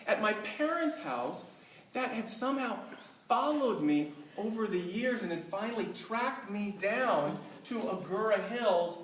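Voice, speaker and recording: A middle-aged speaker telling a tall tale to a live audience in a deliberate, mock-scholarly way with dramatic pauses. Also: mid-range voice, expressive, far from the mic. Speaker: speaker giving a humorous club speech. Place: indoor room with live audience. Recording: echoey room from afar, faint noise.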